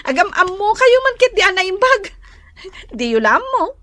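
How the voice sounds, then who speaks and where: A woman's voice: very high voice, very animated, measured pace, fairly bright tone, dry recording, studio quality, full character voice. An actress with an Ilocano Filipino accent playing a chatty, teasing married woman in a radio drama. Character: chatty, teasing young married woman visiting an old classmate.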